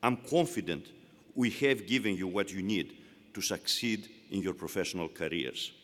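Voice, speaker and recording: A man with a Greek accent giving a formal, measured commencement address to graduates. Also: low voice, a bit bright. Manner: measured, emphatic oratory. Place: large indoor arena. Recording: long arena reverb.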